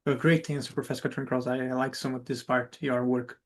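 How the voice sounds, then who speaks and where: A man from Brazil, a native Portuguese speaker with a Brazilian accent, hosting an interview and reading prepared questions in halting non-native English. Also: mid-range voice, little pitch movement. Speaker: interviewer, host.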